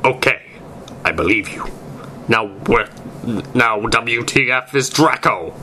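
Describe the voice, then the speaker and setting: A man's voice: mid-range voice, lively intonation, slow, sharp tone, exaggerated voice. A man voicing an angry, melodramatic, foul-mouthed goth teenage girl in an amateur story reading, snapping indignantly. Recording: noisy amateur recording.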